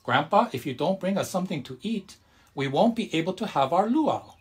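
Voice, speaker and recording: An exasperated, bossy but loving Hawaiian grandma from a children's storybook, acted out by a man. The voice sits high and swoops widely, drawing out key words for emphasis. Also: very animated, slightly rough, full character voice. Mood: pleading, exasperated. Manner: emphatic, drawn-out exclamations.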